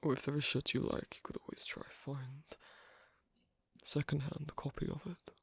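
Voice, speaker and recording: A performer voicing an asocial, reticent werewolf in an ASMR roleplay, speaking haltingly in a breathy, husky half-whisper. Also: little pitch movement, a bit bright, no room sound. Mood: quietly explaining. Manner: halting, hesitant, low-key. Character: asocial, reticent young werewolf on a sewing date.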